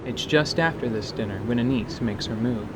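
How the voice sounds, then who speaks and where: A man's voice: normal speed, background noise. A man narrating a dramatized fantasy story from a script. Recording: rumbling background ambience.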